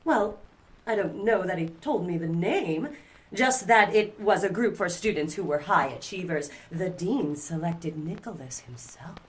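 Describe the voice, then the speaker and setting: Wealthy society matron, middle-aged, haughty, proper, condescending, audio drama. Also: female voice, fairly low pitch, lively intonation, unhurried. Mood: proud, dismissive. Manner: genteel, formal, condescending.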